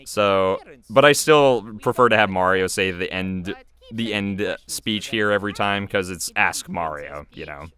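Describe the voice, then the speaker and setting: A man's voice: low voice, a little slow, bright, forceful tone. A young man, a web series creator and voice actor, giving casual, chatty, joking video commentary.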